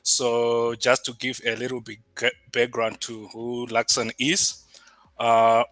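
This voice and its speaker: A man's voice: mid-range voice, completely flat delivery, takes it slowly, a bit bright, no room sound. A man from Zimbabwe introducing himself at an online event over a video call, conversational with small restarts. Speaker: guest speaker.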